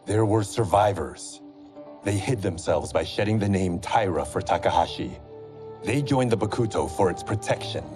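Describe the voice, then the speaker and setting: A man's voice: expressive, normal speed, natural voice. An actor playing an intense young male swordsman, terse and grave in a video game cutscene. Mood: stern. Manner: terse, grave, low-voiced. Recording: game cutscene with music behind.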